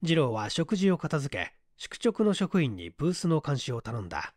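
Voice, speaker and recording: A man's voice: fairly high pitch, big pitch swings, normal speed, a little mellow, no echo, clean studio sound. A man narrating a literary mystery story as a dramatised audiobook reading. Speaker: audiobook reader, small-theatre stage actor.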